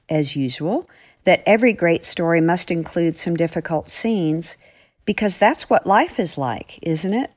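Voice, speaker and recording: A woman's voice: low-pitched, darkish tone. A woman giving an earnest, reflective devotional explanation in a teacherly way, as an author and commentator.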